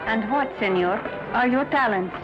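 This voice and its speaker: Woman acting a high-voiced flirtatious Spanish lady. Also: moderate pace, close to an everyday voice. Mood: coy, teasing. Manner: coy, teasing, polite. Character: flirtatious, refined Spanish rancher's wife. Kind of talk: western drama acting. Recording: dull soundtrack, music and crowd behind.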